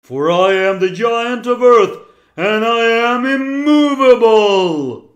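A man voicing a proud, immovable giant who declaims in a booming manner. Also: lively intonation, slow, reverberant, heavily acted.

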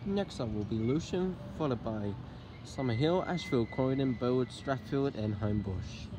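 A man's voice: medium pitch, expressive, normal speed. A man filming and commenting on trains at a railway station, rattling off train numbers and stop lists and correcting himself as he goes. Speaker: train vlogger. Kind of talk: casual train-spotting commentary. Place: outdoors at a railway station and footbridge. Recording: loud outdoor noise on phone mic. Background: passing trains and outdoor traffic noise.

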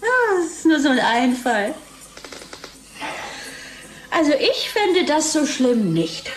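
An actress in a German radio play playing a bored, bitter, hard-drinking wife, sardonic and languid. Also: female voice, sits high, expressive, slow, soft background music. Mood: dry, amused.

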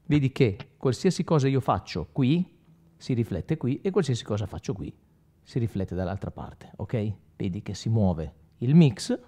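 A man presents a guitar effects tutorial. His voice is mellow and his pitch moves in a lively way. He explains things conversationally with frequent check-in tags, with guitar music around his speech. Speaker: guitarist demonstrating effects pedals. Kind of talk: informal product tutorial. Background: electric guitar playing.

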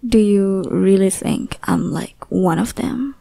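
A young woman voicing an obsessive, possessive lover in an ASMR roleplay, whispering softly with teasing menace. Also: mid-range voice, takes it slowly, no room sound. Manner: soft breathy whispering, teasing and threatening.

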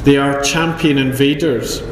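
A man's voice: medium pitch, steady tone, unhurried, noisy background. A Scottish man giving a chemistry talk at a conference, with dry self-deprecating humor and frequent ums. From Scotland. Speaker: chemistry professor. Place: lecture hall. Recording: roomy hall with long echo.

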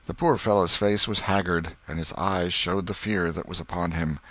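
Male audiobook narrator, low pitch, expressive literary storytelling, dramatic delivery, dry close sound. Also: moderate pace, dry recording.

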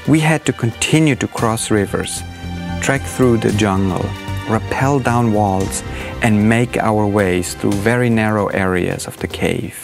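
Middle-aged male narrator, low and steady, over a loud music bed. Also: vocal fry. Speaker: drone team leader. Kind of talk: documentary narration.

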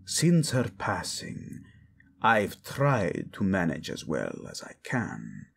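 Man acting a slow, gravelly, scheming royal father. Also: low voice, huge pitch swings, fairly bright tone, a little hiss or hum, full character voice. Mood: feigned humility. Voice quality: gravelly, husky. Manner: slow, insinuating, theatrical. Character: cold, scheming royal father, mocking and falsely courteous. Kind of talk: dramatic audio roleplay acting.

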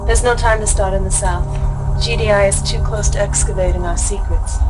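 An actress playing a young female officer speaking in an urgent, brisk way. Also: mid-range voice, steady tone, loud music behind the voice, natural voice, low-quality recording.

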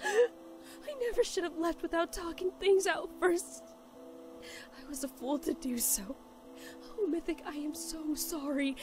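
A woman playing a loving, remorseful mother pony in an animated drama, speaking in an earnest, pleading way. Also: very high-pitched, a little slow, soft background music. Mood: tearful remorse. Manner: earnest, emotional, apologetic. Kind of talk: animated fan audio drama acting.

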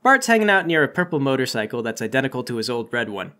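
A man's voice: medium pitch, sing-song, quick pace, sharp tone. A man narrating a comedic tabletop game as game master.

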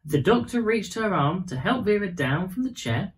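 Animated man reading a children's story. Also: high-pitched, normal speed. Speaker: storybook reader.

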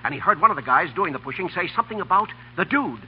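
A man's voice: high-pitched, expressive, fast talker. An actor playing an eager, slangy American policeman giving a report in a 1930s radio drama. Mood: eager, urgent. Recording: muffled, echoing, hissy 1930s radio recording.

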